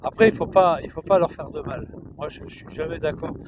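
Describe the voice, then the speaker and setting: A man's voice: brisk, noisy background. A man talking casually outdoors in a cow pasture, rambling and repetitive, cooing to the cows now and then while filming on his phone. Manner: chatty, repetitive, coos to the cows in a high sing-song voice. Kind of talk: casual rambling talk to camera.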